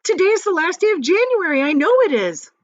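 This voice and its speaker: A woman's voice: very high voice, sing-song, a little fast, dry recording, highs cut off, voice pushed up. A woman voicing a flustered, startled mother in a storybook reading, stammering in alarm.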